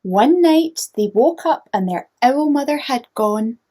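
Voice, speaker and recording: A woman storyteller with a fairly high voice and an unhurried pace. She narrates for young children gently, in an inviting, interactive way. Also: expressive. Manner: gentle, inviting listeners to join in.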